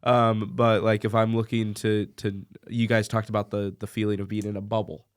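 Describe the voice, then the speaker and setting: Casting: young American man, smooth and clear voice, rambling and easygoing, with restarts. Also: on the low side, little pitch movement, moderate pace. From United States. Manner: rambling, many restarts and fillers. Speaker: visiting student guest. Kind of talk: casual podcast chat.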